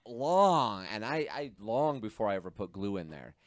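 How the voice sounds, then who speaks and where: A man in his thirties co-hosting a casual hobby podcast, easygoing and quick with agreement. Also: fairly low pitch, big pitch swings, no room sound. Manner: easygoing, interjects short agreements. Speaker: podcast co-host. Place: small home workshop indoors. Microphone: studio mic on boom arm, close. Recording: dry close podcast mic.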